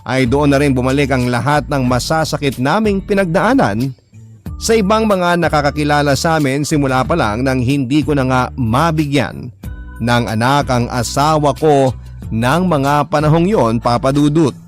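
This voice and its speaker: A man's voice: mid-range voice, moderate pace. A man narrating a scripted first-person drama story in an earnest, sentimental manner. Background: prominent instrumental music.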